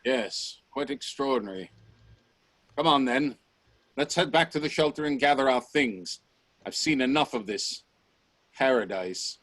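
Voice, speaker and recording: A man playing a driven, stubborn, hot-tempered Edwardian inventor in a radio play, forceful and demanding. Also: mid-range voice, measured pace, long pauses, no room sound. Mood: brisk, dismissive.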